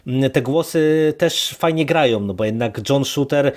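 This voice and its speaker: A man's voice: medium pitch, measured pace, a little mellow. A man co-hosting a podcast, discussing a review casually in wordy, hesitant, circling phrases. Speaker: podcast co-host.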